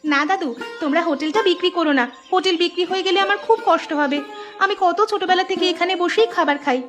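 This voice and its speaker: A woman performs an eager young schoolgirl in a cartoon. Her voice is high, earnest and caring, with a pleading edge, and music plays clearly behind it. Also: fast. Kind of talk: cartoon voice acting.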